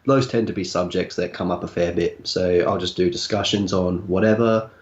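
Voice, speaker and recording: A man's voice: sits low, fairly even intonation, moderate pace, lo-fi sound. A man in his twenties from Adelaide with an Australian accent, chatting casually as a guest and dropping Aussie slang. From Adelaide, South Australia. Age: 20s. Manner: laid-back, uses Aussie slang. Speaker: guest, gaming YouTuber. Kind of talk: casual interview chat.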